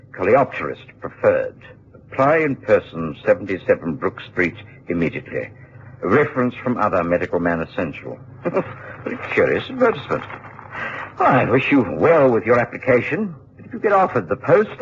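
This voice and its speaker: An actor playing a kindly, measured English physician of middle age in a radio drama, speaking with formal, avuncular precision. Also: male voice, on the low side. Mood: friendly, measured. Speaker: narrator and supporting character in a radio play. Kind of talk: British radio drama acting and storytelling. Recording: muffled, noisy old radio broadcast tape.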